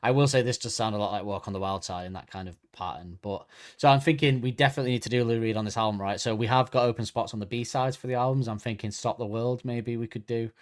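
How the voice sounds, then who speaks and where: A man's voice: medium pitch, fast, hardly any pauses. A man co-hosting a podcast, chatting casually and reading facts from notes while correcting himself as he goes. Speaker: podcast co-host.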